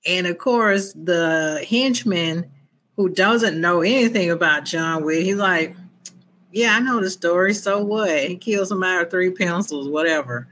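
A woman's voice: lowish voice, normal speed, fairly bright tone, a little hiss or hum. A woman co-hosting a movie review podcast, chatting casually with joking asides.

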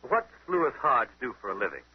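A man's voice: mid-range voice, sing-song, a little fast, breathy edge. An American man playing a keen, articulate detective who reasons aloud, in 1930s radio acting. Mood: inquiring. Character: keen, deductive male detective, inspector's grown son. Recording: dull old 1930s radio recording.

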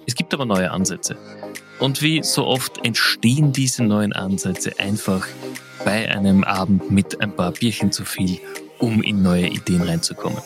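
A man's voice: on the low side, a little slow, over music. Upbeat male podcast host. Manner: enthusiastic, chatty questioning. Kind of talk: podcast intro and interview.

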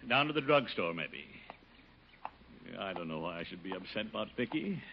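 Pompous lovesick american uncle, flustered, voice all over the place, old noisy radio sitcom. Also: male voice, sits low, very animated, normal speed, lo-fi sound. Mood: sulky muttering. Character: blustering, lovesick, self-deluding middle-aged uncle. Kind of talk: 1950s radio sitcom acting.

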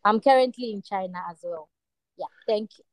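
A woman's voice: medium pitch, brisk, no echo. A woman with a Ghanaian accent introducing herself conversationally as a panel guest. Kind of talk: personal self-introduction.

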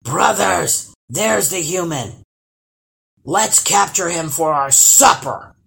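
Menacing one-eyed giant troll, male, roaring and bullying, very animated storybook villain played by a woman. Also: lowish voice, slow, vocal fry, full character voice. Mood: excited, hungry. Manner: roaring, threatening.

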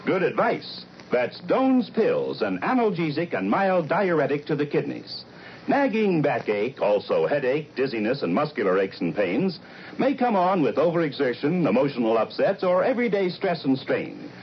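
A man's voice: fairly high pitch, sing-song, moderate pace. A man announcing a scripted commercial in a vintage radio style. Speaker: advertisement announcer. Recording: dull, slightly noisy vintage radio.

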